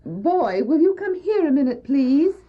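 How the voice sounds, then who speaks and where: A woman's voice: high-pitched, sing-song, a little slow, noisy background. A woman playing a kindly, gracious, wealthy lady in an old-fashioned audio drama. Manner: gracious, exclamatory. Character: well-dressed, kindly, wealthy lady in a carriage. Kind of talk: retro audio drama acting. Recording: dull old cassette tape.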